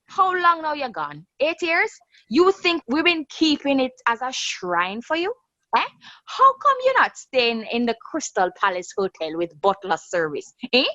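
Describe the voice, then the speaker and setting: A woman's voice: high-pitched, huge pitch swings, measured pace, bright, forceful tone, dry recording, highs cut off, exaggerated voice. A woman playing a furious sarcastic daughter. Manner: confrontational, mocking and sarcastic. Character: angry grown daughter, sharp-tongued and bitter. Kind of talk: radio drama acting.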